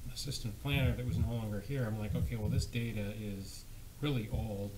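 A middle-aged man speaking as a board member in a meeting room, explaining his concerns in a measured, deliberative way. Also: lowish voice, fairly even intonation, darkish tone. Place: indoor municipal meeting room. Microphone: gooseneck table microphone. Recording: noisy meeting room recording.

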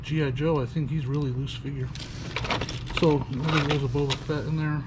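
A man's voice: fairly high pitch, steady tone, fairly quick. A man in his fifties giving casual, upbeat commentary while he digs through boxes in a metal storage unit. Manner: chatty, upbeat, thinking aloud while sorting items. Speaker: storage locker reseller. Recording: loud background noise.

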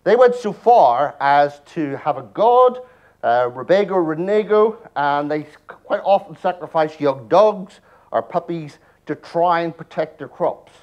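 A man's voice: on the high side, animated, unhurried, darkish tone, highs cut off. A middle-aged man with an Irish accent gives a conference talk with slides, dropping wry jokes as he goes. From Ireland. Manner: joking, wry asides. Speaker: conference speaker. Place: large presentation hall.

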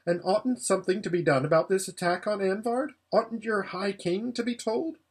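Earnest, high-pitched young boy voiced by a man. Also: normal speed, husky. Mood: anxious. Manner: polite, tentative, earnest. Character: earnest, polite young boy, a stranger in the woods.

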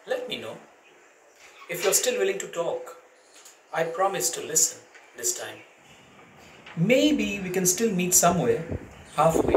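A middle-aged man reading a letter aloud in a slow, expressive way, standing in a room with a bare wall. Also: on the high side. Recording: roomy, distant camera with faint noise.

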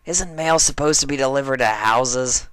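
A man's voice: medium pitch, moderate pace, a bit bright, clean tone, no echo, voice pushed up, lightly acted. A man voicing a brash, laid-back young mare pony with a casual, blunt, dismissive manner in a story reading. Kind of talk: fan fiction character reading.

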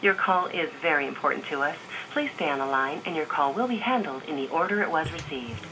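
A woman's voice: sits low, lively intonation, fairly quick. A woman reading a scripted hold-queue greeting as a phone system announcer, played through a phone speaker. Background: clear background noise.

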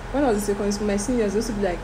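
Animated young Nigerian woman telling stories. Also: mid-range voice, very fast. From Delta State, Nigeria. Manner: animated storyteller, dramatic quoting, mixes in pidgin. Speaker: guest. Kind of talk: casual chat. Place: indoor room, seated before curtains. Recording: room with air conditioner hum.

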